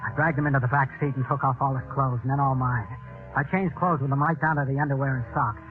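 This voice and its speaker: A man's voice: mid-range voice, steady tone, quick pace, close to an everyday voice. An actor playing a cold, scheming, nervous man who narrates his own crime in 1940s radio style. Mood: calculating. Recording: tinny, band-limited old radio recording, music behind.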